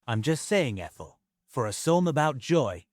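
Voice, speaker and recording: A man's voice: mid-range voice, sing-song, moderate pace, no echo, studio quality, close to an everyday voice. A man playing a wry, defensive comic character who quibbles with his partner.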